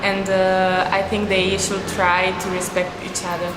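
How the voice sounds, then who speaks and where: Woman, hesitant, flat monotone, echoey room, loud background noise. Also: mid-range voice, measured pace. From Italy. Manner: hesitant, with many fillers. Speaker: student.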